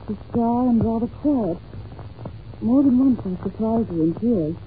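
A woman's voice: fairly high pitch, animated, measured pace. A woman playing an anxious, polite young governess in an old-time radio drama, speaking earnestly and hesitantly on a muffled, hissy recording. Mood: earnest unease. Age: young adult. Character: anxious, well-mannered young governess confiding in a detective.

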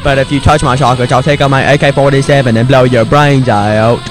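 Man putting on a slow-witted simpleton, rambling. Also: mid-range voice, fairly even intonation, music in the background, lightly acted, low-quality recording. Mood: boastful, rambling. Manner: rambling, halting, simple-minded. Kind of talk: comedy podcast character monologue.

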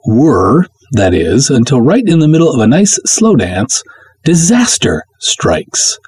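Man reading as wry, confiding woman, husky. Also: medium pitch, sing-song, slow pace, a little mellow, heavily acted. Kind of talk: first-person serial story reading.

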